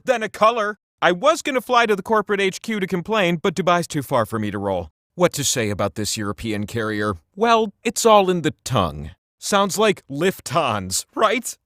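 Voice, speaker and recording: Sentient quiz wheel for a comedy game: high, swooping voice, theatrical, cheeky, easily peeved. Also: male voice, fairly bright tone, dry recording, clean studio sound, full character voice. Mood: sardonic, peevish. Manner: theatrical, punchy delivery with sardonic asides. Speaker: quiz show host. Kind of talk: comedic video game voice acting, quiz patter.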